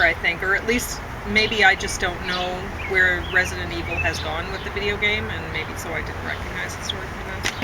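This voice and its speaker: A woman's voice: mid-range voice, background noise. A woman reviewing films in a casual, unscripted chat, rambling and often correcting herself as she goes. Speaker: film reviewer.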